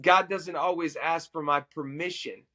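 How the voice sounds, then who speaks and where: A middle-aged man, a worship musician and podcast guest, telling a personal story in an animated, self-amused way. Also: a little high, moderate pace.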